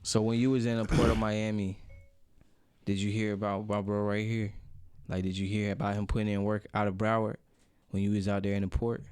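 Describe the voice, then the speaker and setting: A man's voice: on the low side, flat delivery, brisk, a little mellow, smooth, no room sound. A man hosting a live stream, hyped and talking to the chat. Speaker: stream host.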